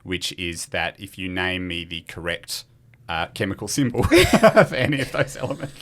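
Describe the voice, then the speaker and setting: A man's voice: low voice, sing-song, moderate pace, fairly bright tone. An Australian man hosting a quiz in a casual, bantering style. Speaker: quiz host.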